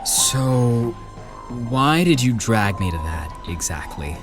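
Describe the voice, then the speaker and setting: An actor playing a questioning, defensive young apprentice with a skeptical, challenging manner in a fantasy audiobook drama. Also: male voice, mid-range voice, sing-song, slow pace, over music.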